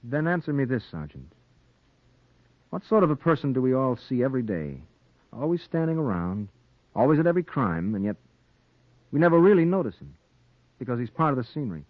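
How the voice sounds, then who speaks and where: A man's voice: mid-range voice, big pitch swings, a little fast, pauses often, no room sound, natural voice. Driven 1940s radio noir reporter, male actor, intense and dramatic, widely varied intonation, over dull vintage recording. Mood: probing, tense. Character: driven male newspaper reporter hunting a strangler, narrating his story.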